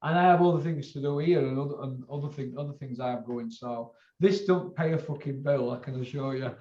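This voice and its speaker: A man's voice: mid-range voice, a little fast, warm tone. A man with a Northern English accent chatting casually as host, in a rambling, colloquial way that circles back on itself. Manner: rambling, colloquial, sweary, repeats himself. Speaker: host of a boxing video channel. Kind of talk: casual chat. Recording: roomy, dull lo-fi sound.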